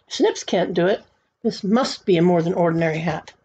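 A woman voicing a blustering, puzzled king who booms commands. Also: fairly quick, dark tone, breathy edge. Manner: booming commanding calls. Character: blustering puzzled king, middle-aged man. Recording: dull lo-fi home recording.

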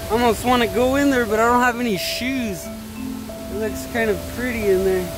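A man vlogging outdoors by a jungle waterfall, excited and awestruck, exclaiming again and again as he explores. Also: very high voice, normal speed, over music. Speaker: travel vlogger. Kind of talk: casual travel vlog narration.

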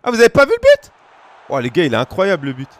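Excitable French gamer with lively pitch. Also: male voice, quick pace. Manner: excitable, shouts at goals, chats casually with viewers. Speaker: streamer. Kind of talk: live gaming commentary to chat. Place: small room at home.

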